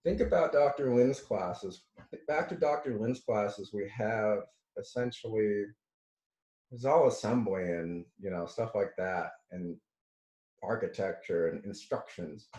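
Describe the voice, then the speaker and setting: A man giving an informal lecture as a teacher, talking haltingly, correcting himself and often checking in with "right?". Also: lowish voice, warm tone, roomy sound, rough recording. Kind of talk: informal slide lecture with live demos.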